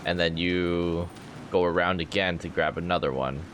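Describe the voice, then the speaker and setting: Deep-voiced man streaming a game. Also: steady tone, moderate pace, a bit bright, slight background noise. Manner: thinking aloud, informal. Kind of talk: casual live gameplay commentary. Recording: game music behind voice.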